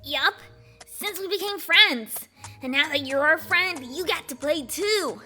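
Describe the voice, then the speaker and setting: A woman's voice: high-pitched, lively intonation, a little slow, pushed and bright, faint music behind, pitched above the performer's own voice, heavily acted. A woman voicing a brash, upbeat young tomboy filly pony with an eager manner in a storybook reading.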